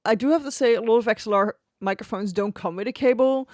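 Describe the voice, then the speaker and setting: A woman's voice: a little high, fast talker, no echo. A woman streamer talking casually and easygoing about her gear, laughing often, close to a studio microphone at her home desk. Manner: chatty and easygoing, with frequent laughs. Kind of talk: casual tech review talk. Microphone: close XLR studio microphone on an arm.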